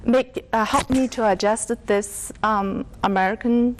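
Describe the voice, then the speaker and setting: A woman from China with a Chinese accent, answering interview questions haltingly as she searches for words in English, which is not her first language. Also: fairly high pitch, steady tone, slight background noise, low-quality recording. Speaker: interview guest.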